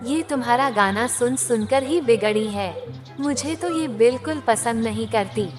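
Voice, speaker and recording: Woman playing a slow-spoken, nagging village wife. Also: on the high side, over music, natural voice. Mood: complaining. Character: farmer's wife, practical, complaining village homemaker. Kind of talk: animated Hindi moral-story voice acting.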